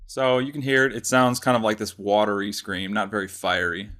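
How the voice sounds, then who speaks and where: A man presenting a casual software tutorial, explaining step by step in an informal way. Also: fairly low pitch, level tone, fairly quick.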